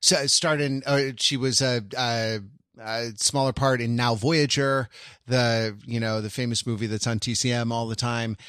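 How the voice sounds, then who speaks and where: Bright dry-voiced laughing man. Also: medium pitch, fairly even intonation, normal speed, smooth, dry recording. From Los Angeles. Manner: laughs often, mock-grand speeches. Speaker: podcast co-host. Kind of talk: casual chat.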